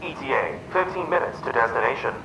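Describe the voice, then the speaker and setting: An actor voicing a polite male AI butler who speaks crisply and formally. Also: medium pitch, lively intonation, quick pace, sharp tone, echoey room, distant mic, slight background noise, rough recording. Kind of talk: fan audio drama acting.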